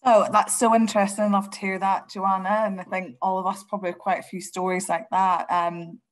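A woman's voice: mid-range voice, level tone, fairly quick. A woman in her thirties with a Northern Irish accent, speaking fluently and encouragingly as a panelist on a video call. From Northern Ireland. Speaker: radio panelist. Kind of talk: conversational answer on a panel.

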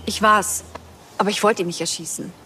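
A defensive woman suspect in a TV crime drama, played by an actress. She speaks quickly at a middling pitch, with faint noise around her. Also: fairly even intonation, bright, forceful tone, lightly acted. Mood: confessing. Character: defensive married woman under police questioning.

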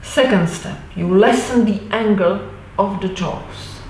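A woman in her forties whose first language is Czech, teaching pronunciation to camera and demonstrating sounds slowly and reassuringly. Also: mid-range voice, a little mellow, a little hiss or hum. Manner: slow, deliberate, reassuring, repeats sounds to demonstrate. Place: indoor room with wooden door and bookshelf. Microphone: fixed camera at mid distance. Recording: roomy echo.

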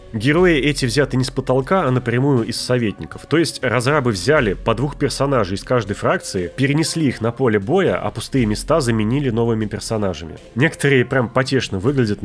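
A young man reads a video game review into a close mic, brisk and wry with a few jokes. His voice is a bit hoarse from a cold and sits in an ordinary middle range. Quiet background music runs under him. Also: mid-range voice. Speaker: gaming video host. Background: faint background music.

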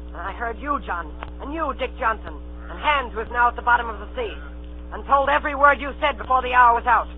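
A man's voice: very high-pitched, brisk. An actor playing a proud, plucky boy adventurer, telling his tale earnestly in old radio drama style. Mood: defiant. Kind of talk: 1930s radio drama acting. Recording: tinny old radio broadcast, music, hum.

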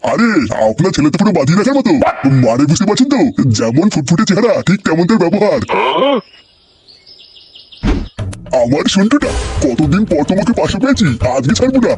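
Cartoon principal male actor: two-faced, glib and wheedling, high voice, very quick patter, noisy track. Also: very animated, a little mellow, faint music behind. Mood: smarmy. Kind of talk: crude comedy cartoon voice acting.

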